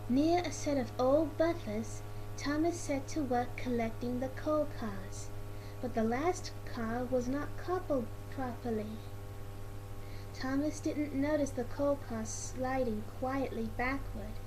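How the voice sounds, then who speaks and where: Female read-along narrator: high voice, slow halting delivery of a children's story, clearly noisy amateur recording.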